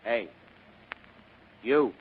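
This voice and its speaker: A man's voice: medium pitch, animated, drawn-out words. An actor playing a sullen, hot-tempered young gunman henchman in old radio drama style, surly and menacing. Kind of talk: 1940s-style radio acting. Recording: tinny, noisy old radio recording.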